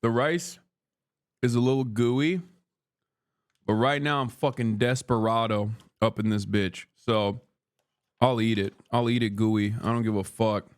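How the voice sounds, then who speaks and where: A man's voice: medium pitch, fairly even intonation, unhurried, clean tone. A man from Turkey in his thirties, streaming live while eating and trading profane banter with his chat. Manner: profane, bantering, reads chat aloud and argues with it.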